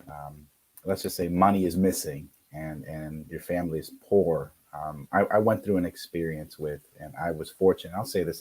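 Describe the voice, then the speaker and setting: Man, 40s, native Spanish speaker, very deep, husky tone, earnest guest telling his story on a home call. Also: darkish tone, rough recording. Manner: earnest, storytelling with many fillers. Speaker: guest, career center director. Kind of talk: casual conversation.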